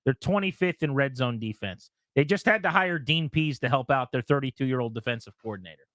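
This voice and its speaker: A man hosting a sports talk radio show who argues his points in an animated, argumentative way. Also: mid-range voice, a little fast, no room sound. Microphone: studio mic.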